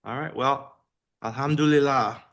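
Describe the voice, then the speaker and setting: Male podcast host, middle pitch, casual and enthusiastic, quick to laugh. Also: fairly even intonation. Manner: warm, enthusiastic, laughs often. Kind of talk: casual interview chat.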